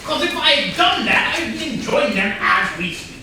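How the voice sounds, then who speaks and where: A woman's voice: high voice, sing-song, moderate pace. A woman playing an indignant, demanding, haughty murder suspect in a staged comedy reading. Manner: imperious, haughty. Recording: very echoey room, distant mic, faint noise.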